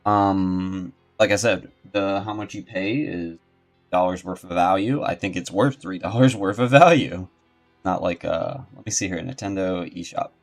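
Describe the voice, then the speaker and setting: A low-voiced man hosts a casual game stream. He rambles and thinks out loud as he talks to viewers, with faint game music playing behind him. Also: normal speed. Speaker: video game streamer and host. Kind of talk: casual livestream commentary.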